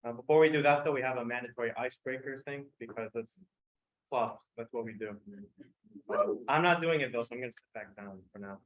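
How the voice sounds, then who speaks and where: A young man leading a student club meeting, quick and offhand as he welcomes everyone. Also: mid-range voice, a bit bright. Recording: dull mic sound.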